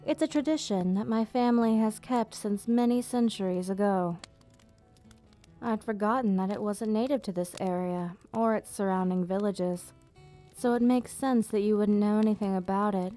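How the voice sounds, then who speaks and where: A woman playing a gentle, nostalgic vampire hostess in an ASMR roleplay, speaking softly in slightly formal, unhurried explanations. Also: mid-range voice, natural voice. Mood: welcoming, gentle. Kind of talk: ASMR roleplay, soft storytelling. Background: background music.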